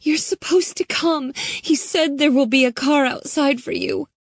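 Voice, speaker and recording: A woman's voice: high voice, unhurried, no room sound, studio quality, pitched above the performer's own voice. A woman voicing a frightened young girl who is crying and panicky, her voice breaking. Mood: terrified.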